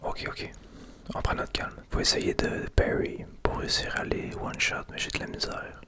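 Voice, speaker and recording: A man with a Québécois French accent whispering casual, unhurried ASMR commentary as he plays a video game. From Quebec. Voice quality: breathy, unvoiced whisper. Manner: unhurried, hushed, casual narration of play.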